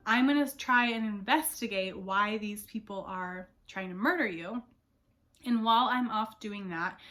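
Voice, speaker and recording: A woman's voice: fairly high pitch, a bit bright. A young woman book vlogger chatting casually to camera, her voice not fully back after an illness, rambling with fillers.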